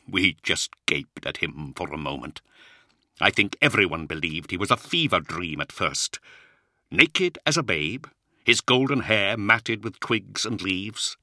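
A man's voice: low voice, animated, bright, forceful tone, no room sound, clean studio sound, heavily acted. Man acting an anguished, embittered knight commander, swooping intensely. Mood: haunted. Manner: impassioned, dramatic, weighted pauses. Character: anguished, bitter knight commander sliding toward madness. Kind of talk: dramatic audiobook character acting.

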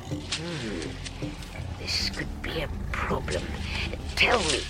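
A woman voicing a calm, dry-witted male doctor who speaks in a hushed, near-whispered tone of authority. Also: sits low, very slow, music in the background, heavily acted.

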